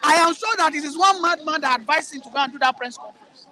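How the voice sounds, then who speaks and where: A man's voice: very high voice, fast, pushed and bright, a faint crowd. A man with a Nigerian accent, arguing politics in a heated, mocking way and repeating his points. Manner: combative, mocking, repeats himself.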